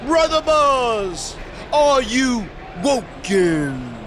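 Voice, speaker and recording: An actor playing an eccentric, grandiose mystic wrestler in a game, with pompous, drawn-out, archaic speech. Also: male voice, very high voice, sing-song, background noise, full character voice. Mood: grandly pompous. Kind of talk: video game cutscene acting.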